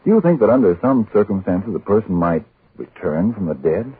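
Casting: vintage radio announcer, man, lively sales delivery with a very rough edge, narrow phone-like recording. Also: fairly low pitch, a little fast. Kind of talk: 1940s radio announcing and ad reading.

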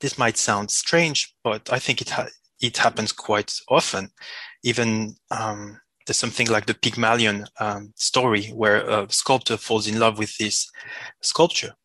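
A man with a Swiss French accent and a slight cold gives an interview. His voice is fairly low and bright, and he speaks haltingly, correcting himself. The recording is dry. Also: fairly even intonation, no echo. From Switzerland. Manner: hesitant, self-correcting. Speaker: interview guest. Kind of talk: halting conversational interview talk.

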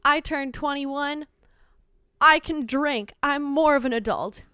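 A woman's voice: high-pitched, slow, no echo, studio quality. A young woman from Minnesota with a slight Minnesotan accent, chatting casually on a podcast and acting out quotes as she tells stories. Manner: animated storytelling, acts out quotes, laughs often. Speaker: podcast guest. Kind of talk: casual chat.

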